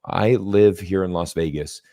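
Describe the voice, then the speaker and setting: A man from Singapore hosts a casual livestream chat, his voice sitting very low. He talks in an animated way, full of fillers, and acts out the quotes he retells. The sound is dry and close. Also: unhurried, dry recording. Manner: animated, mimics quoted exchanges, many fillers. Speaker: channel host. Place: lives in Las Vegas.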